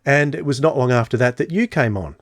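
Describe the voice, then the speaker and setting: A man's voice: medium pitch, expressive, fairly quick, dry recording, clean studio sound. A man hosting a podcast, chatting casually and telling stories with many fillers. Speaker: podcast host.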